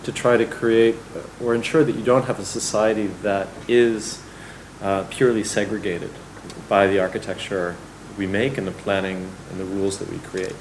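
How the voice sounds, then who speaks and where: A man's voice: on the low side, level tone, normal speed, background noise. A male architect answering audience questions at a public lecture, thoughtful and hedging with fillers. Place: lecture hall.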